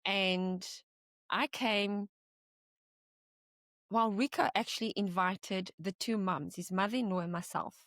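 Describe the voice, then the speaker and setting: A woman's voice: mid-range voice, slow, pauses often, fairly bright tone, dry recording, studio quality. A middle-aged woman from South Africa, a guest telling her personal story with easy laughter and quoted asides.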